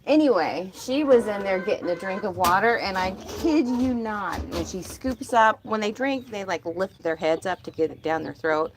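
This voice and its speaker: A woman chatting casually on a live stream from her chicken coop outdoors, talking to her birds, with a calm, disinterested-sounding voice. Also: mid-range voice, moderate pace, hardly any pauses, noisy background. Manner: chatty, laughs often, talks to her birds. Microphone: phone held in hand.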